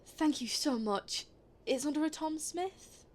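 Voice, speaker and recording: A woman's voice: high-pitched, measured pace. A woman acting a polite, hesitant and sceptical visitor in a naturalistic audio drama, rambling a little awkwardly. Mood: polite, uncertain.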